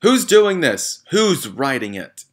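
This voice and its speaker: A man voicing a huge bullying headmistress from a storybook, yelling explosively. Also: fairly high pitch, very animated, takes it slowly, pitched above the performer's own voice, heavily acted. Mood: furious.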